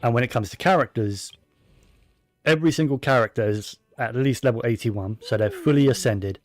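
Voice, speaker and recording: A man's voice: medium pitch, brisk, soft background music. A man giving casual, rambling commentary while playing a video game, with plenty of fillers and restarts. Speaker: gaming streamer.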